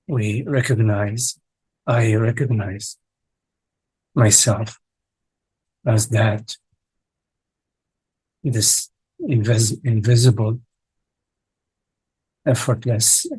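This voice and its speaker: A man with a lowish, husky voice guides a meditation. He speaks in short, halting phrases and leaves long pauses between them. The sound is dry and close. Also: fairly even intonation, darkish tone, no room sound, poor audio. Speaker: meditation teacher leading a live online session. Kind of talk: guided meditation, slow contemplative speech.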